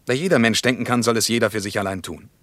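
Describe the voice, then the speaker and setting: Actor as lowish-voiced, persistent West German sceptic. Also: male voice, fast, a bit bright, no echo, lightly acted. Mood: firm. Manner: probing, insistent, argumentative. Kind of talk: mid-20th-century German radio play dialogue.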